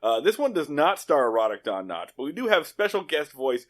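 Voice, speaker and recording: A man with a fairly high voice for a male speaker co-hosts a podcast. He talks casually and informally. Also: sing-song, brisk. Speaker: podcast co-host.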